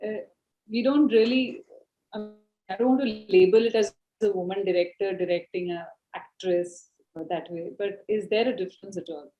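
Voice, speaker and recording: A woman's voice: medium pitch, normal speed, warm tone, soft background music. Woman interviewer, film editor type, hesitant questions, muffled lo-fi audio. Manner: hesitant, searching phrasing with fillers. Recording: dull lo-fi sound.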